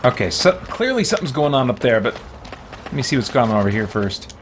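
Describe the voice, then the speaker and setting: Casual male gamer, mid-pitched, thinking aloud. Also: very animated, brisk, slight background noise. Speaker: gamer, let's play host. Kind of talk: casual gameplay commentary.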